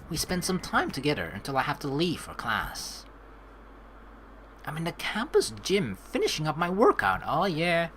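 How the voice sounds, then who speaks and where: A woman hosting a game playthrough, reading narration aloud and adding casual commentary in a playful, expressive manner. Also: deep voice. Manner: playful, expressive, acts out lines. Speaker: let's play host.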